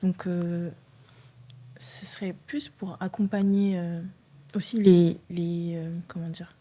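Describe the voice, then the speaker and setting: Young woman with a mellow voice. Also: lowish voice, level tone, slow pace. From France. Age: early 20s. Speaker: podcast guest, judo athlete. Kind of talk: casual interview talk.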